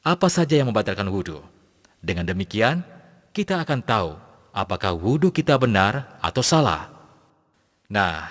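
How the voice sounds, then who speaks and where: A man voicing a patient cartoon Islamic teacher, explaining to young pupils in a measured, didactic way. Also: fairly low pitch. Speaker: religious teacher. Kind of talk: scripted cartoon lesson narration. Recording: long hall-like reverb.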